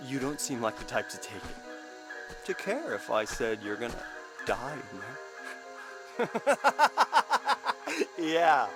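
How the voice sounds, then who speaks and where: Unhinged rambling jungle survivor. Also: male voice, mid-range voice, expressive, slow, pauses often, poor audio. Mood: ominous, mocking. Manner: erratic, muttering and bursting out, cackling. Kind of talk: video game cutscene acting. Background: music.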